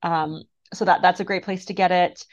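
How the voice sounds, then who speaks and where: A woman in her thirties from Moldova, a guest author talking thoughtfully in an interview with soft laughs and fillers. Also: lowish voice, steady tone, moderate pace. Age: 30s. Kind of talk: casual interview conversation. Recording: muffled lo-fi sound.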